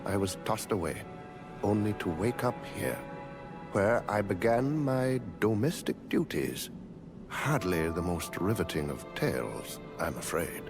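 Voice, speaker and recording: Slow, courteous mechanical butler. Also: male voice, lowish voice, very animated, music in the background. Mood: courteous, humble. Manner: polite, formal, butler-like. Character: humble, courteous war-machine construct turned housekeeping attendant. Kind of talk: scripted video game dialogue.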